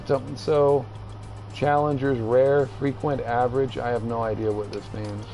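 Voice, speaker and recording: A man streaming a video game with casual, rambling commentary, haltingly thinking aloud as he reads the menus. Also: mid-range voice, level tone, warm tone, soft background music, poor audio.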